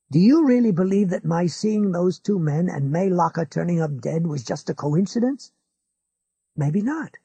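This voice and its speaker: A reader doing an insistent older man, raised and husky. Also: medium pitch, dark tone, no echo, muffled recording, voice pushed up, exaggerated voice. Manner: insistent, lecturing. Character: older man, pushy rational neighbor. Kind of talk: audiobook character voice.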